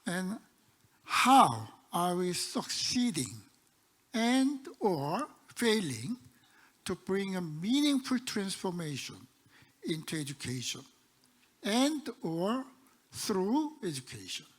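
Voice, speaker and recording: A man moderating a conference panel, measured and hesitant with frequent fillers and restarts. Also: high-pitched, sing-song, husky.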